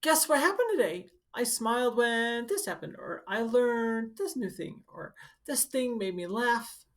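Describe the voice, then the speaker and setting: A woman's voice: on the high side, animated, measured pace. A woman school counselor reading a picture book aloud to children, adding informal, instructive asides as she goes. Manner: instructive, speaking directly to children.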